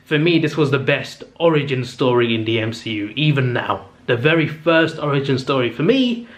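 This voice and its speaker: Young guy rambling excitedly about his fave films, lots of ums and restarts, voice getting a bit worn, plain middle pitch. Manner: chatty and enthusiastic, with frequent fillers and self-corrections. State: losing his voice. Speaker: movie review channel host. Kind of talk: casual ranking monologue to camera.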